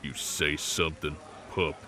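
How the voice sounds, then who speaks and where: A man's voice: extremely low pitch, steady tone, unhurried, pushed and bright, heavily acted. A man playing a cocky, menacing supernatural brawler, in an audio drama. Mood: taunting menace. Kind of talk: audio roleplay drama acting.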